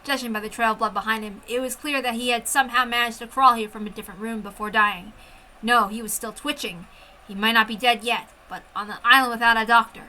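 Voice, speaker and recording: Bright, higher-pitched woman reading dramatically. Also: quick pace, rough recording. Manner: expressive, intense. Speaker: amateur story reader. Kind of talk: dramatic first-person story narration.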